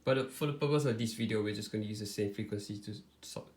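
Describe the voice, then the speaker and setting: Man giving a halting, informal demonstration. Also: lowish voice, darkish tone. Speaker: tutorial presenter. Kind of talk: informal step-by-step product demonstration.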